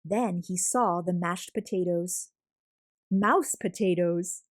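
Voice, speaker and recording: A woman reading a children's picture book aloud as a storyteller, expressive and deliberate, drawing out words for emphasis. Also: fairly low pitch, no room sound, clean studio sound. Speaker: storyteller, read-aloud host.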